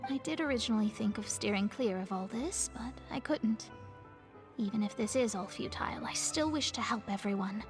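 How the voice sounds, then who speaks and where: A young astrologer mage in a video game. Her voice sits a little high, with earnest, articulate, philosophical phrasing and a proud formality. Soft music runs behind her. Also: animated, moderate pace. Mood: solemn, hopeful.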